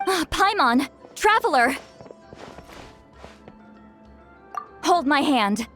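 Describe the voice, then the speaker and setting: Brief: shrewd young female shaman, fairly high voice, brusque, proud, sure of herself, game dialogue over music. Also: very animated, unhurried. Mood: startled. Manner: brusque, self-assured. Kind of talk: animated game voice acting.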